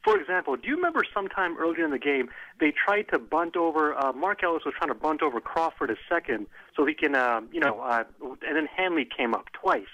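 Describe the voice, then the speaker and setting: A man calling a sports radio show, arguing his point in an animated way and often cutting in. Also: fairly high pitch, fast talker, hardly any pauses, no echo. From Los Angeles. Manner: animated, argumentative, interrupts. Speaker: caller. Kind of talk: excited sports radio call. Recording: phone line.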